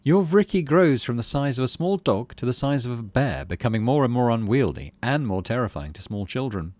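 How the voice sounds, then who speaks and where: A man reading story text from a video game aloud as an expressive narrator, with dramatic storybook delivery and casual asides. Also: medium pitch, brisk, no echo.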